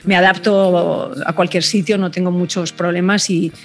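A middle-aged woman from Spain, a TV news correspondent, talking in a relaxed interview and thinking aloud as she answers. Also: fairly low pitch, normal speed, faint music behind. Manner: thoughtful, reflective, many restarts and fillers.

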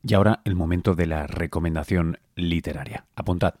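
A man from Catalonia who hosts a podcast, reading scripted links between interviews in a measured way. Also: sits low, darkish tone, slight background noise. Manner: measured presenter. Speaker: podcast host. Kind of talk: scripted narration.

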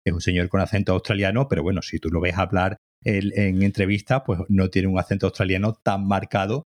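A man's voice: low voice, little pitch movement, brisk. A man co-hosting a podcast, chatting casually about a cartoon and hesitating often. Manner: hesitant, many fillers and restarts, agreeing often. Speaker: podcast co-host. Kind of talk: casual review chat.

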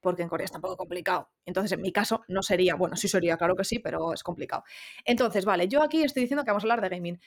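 A woman in her thirties from Spain, with a Spanish accent, co-hosting a casual chat with playful asides. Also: fairly low pitch. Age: 30s. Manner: chatty, playful, quick asides and mock voices. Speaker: podcast co-host.

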